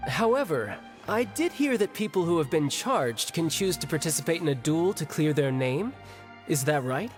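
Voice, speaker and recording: Young male game warrior, playful, battle-hungry swagger, very animated delivery. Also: a little high, normal speed, soft background music. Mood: cocky, playful. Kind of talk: anime game voice acting.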